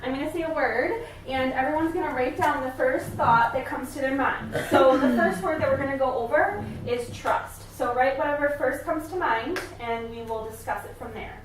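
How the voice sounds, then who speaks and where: A woman playing a supportive family therapist in a role-play, speaking in a hesitant, gently validating way. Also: sits high, moderate pace, few pauses, lightly acted. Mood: calm, supportive. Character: supportive, attentive female family therapist leading a session. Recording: echoey room, distant mic, faint noise.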